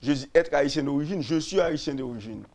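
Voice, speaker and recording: A man, a lawyer and radio guest, explaining constitutional articles slowly, repeating and restarting phrases. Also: on the high side, darkish tone. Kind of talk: explaining constitutional law on a radio talk show.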